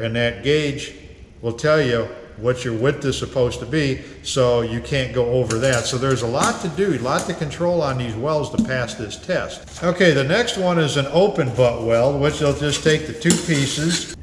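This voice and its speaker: A man's voice: mid-range voice, slight background noise. A middle-aged man teaching auto body welding in a garage workshop, explaining things in a plain, practical, folksy way. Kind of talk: hands-on welding demonstration. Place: garage workshop with red tool chests. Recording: roomy, echoey workshop.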